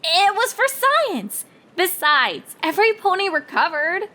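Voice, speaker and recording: A woman's voice: very high voice, big pitch swings, unhurried, pushed and bright, voice pushed up, heavily acted. A woman voicing a bookish, skeptical female cartoon pony, incredulous, emphatic and easily flustered. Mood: defensive, indignant. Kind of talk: character voice in fan fiction reading.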